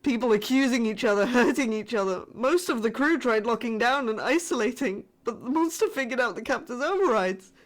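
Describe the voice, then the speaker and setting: A frightened female survivor of a spaceship crew, played by a woman delivers a distress message in a fairly high voice. She holds to formal reporting, yet sounds shaken and halting, in the manner of a sci-fi horror audio drama. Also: animated, lightly acted. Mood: shaken, horrified.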